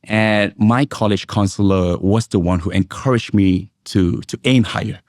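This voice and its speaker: Low-voiced middle-aged man explaining his experience. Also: normal speed, a little mellow, no echo, clean studio sound. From Indonesia and Singapore. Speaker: veteran tech investment analyst, interview guest. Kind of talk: reflective interview answers with personal examples.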